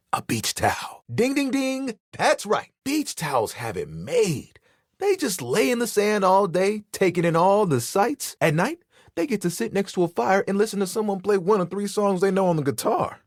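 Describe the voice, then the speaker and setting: A man playing an easygoing, proud and sentimental living towel in a video game, with a husky, expressive and earnest delivery. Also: high voice, no echo, clean studio sound, full character voice. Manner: laid-back, expressive, earnest, playfully boastful. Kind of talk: video game character dialogue.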